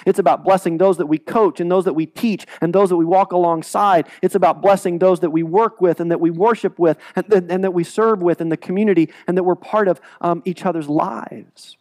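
A man in his forties preaching a sermon in a church sanctuary, animated and gesturing, often repeating words as he restarts. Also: sits high. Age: 40s. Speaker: pastor. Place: large church sanctuary, speaking from the stage.

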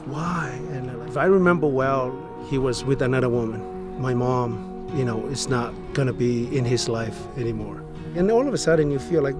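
Soft-spoken Samoan man, music under him. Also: mid-range voice. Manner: soft-spoken, halting, reflective storytelling. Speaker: interviewee. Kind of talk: documentary interview. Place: outdoors in a rural field. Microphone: boom mic.

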